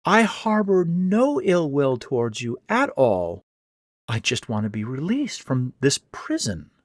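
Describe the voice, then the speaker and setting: A man's voice: huge pitch swings, unhurried, no room sound, clean studio sound. A man voicing a monstrous spider-woman who poses as a sweet, coaxing captive with genteel speech, in a horror audio story. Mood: soothing. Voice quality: high, sweet. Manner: supplicating, coaxing, genteel old-fashioned speech. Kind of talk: horror audio fiction reading.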